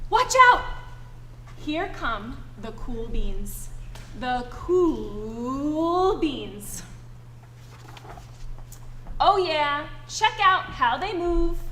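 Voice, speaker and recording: High-pitched female educator, animated and encouraging read-aloud for kids, live in an echoey room. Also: extremely slow, pauses often. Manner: encouraging, addresses children with endearments. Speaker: educator reading aloud to children. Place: indoor school family event, live audience. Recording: echoey room with background noise.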